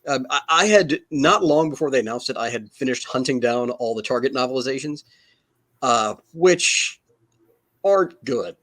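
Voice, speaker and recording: A man's voice: mid-range voice, expressive, normal speed, fairly bright tone. A man chatting casually as a panelist in a discussion among fans. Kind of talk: casual fan chat.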